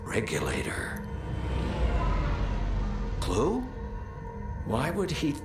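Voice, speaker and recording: Idealistic young-program diarist for a video game, earnest and animated, echo over music. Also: male voice, mid-range voice, very slow, full character voice. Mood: curious, uneasy. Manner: earnest, reflective, dramatic. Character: earnest, ambitious male computer program, idealistic. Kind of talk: video game audio diary monologue. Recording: echoey, with music behind.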